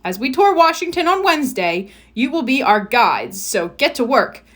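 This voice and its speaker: Encouraging male teacher played by a woman, brisk, animated, storybook instruction. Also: high-pitched, pitched above the performer's own voice, exaggerated voice. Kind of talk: children's storybook read-aloud.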